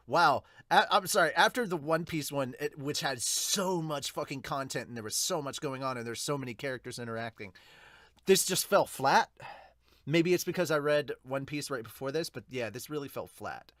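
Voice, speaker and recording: A man's voice: on the high side, moderate pace, fairly bright tone, no echo, clean studio sound. A man hosting a podcast, giving a casual, unscripted reaction and review in a rambling, informal, sweary way. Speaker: podcast host reviewing manga.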